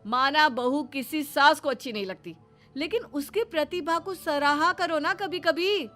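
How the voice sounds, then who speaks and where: An actor voicing an easygoing, gullible husband in a Hindi moral-story cartoon, excitable and admiring. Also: high-pitched, unhurried, soft background music. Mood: pleased.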